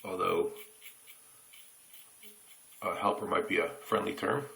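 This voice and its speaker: An American man telling a childhood story in a relaxed, reflective way while shaving, recorded on a phone camera in a bathroom. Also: low voice, gravelly. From United States. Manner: reflective, unhurried anecdotes with pauses. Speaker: shaving reviewer.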